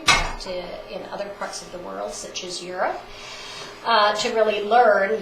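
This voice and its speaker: A woman giving a formal presentation to a town council, measured and speaking with frequent pauses and fillers. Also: mid-range voice. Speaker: health organization presenter. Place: council chamber. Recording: roomy chamber audio with faint noise.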